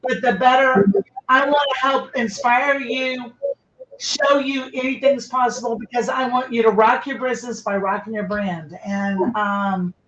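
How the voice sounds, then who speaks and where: Eager high-voiced woman in her fifties. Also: fairly even intonation, unhurried, fairly bright tone, echoey room, highs cut off. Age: 50s. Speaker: guest, branding coach. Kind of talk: conversational promotional talk.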